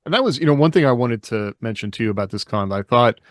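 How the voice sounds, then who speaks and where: A man's voice: mid-range voice, expressive, quick pace, dry recording, clean studio sound. A man hosting a casual podcast chat in an easygoing, loosely phrased way, as if thinking aloud. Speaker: podcast co-host and author.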